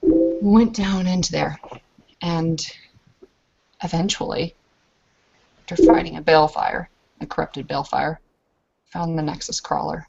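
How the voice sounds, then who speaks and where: A woman's voice: low voice, steady tone, measured pace, vocal fry, pauses often, a little mellow, slightly rough, dull audio, full character voice. A woman voicing a self-deprecating female werewolf warrior who tells of her battles in a hesitant, rambling way. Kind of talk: in-character tabletop roleplay storytelling.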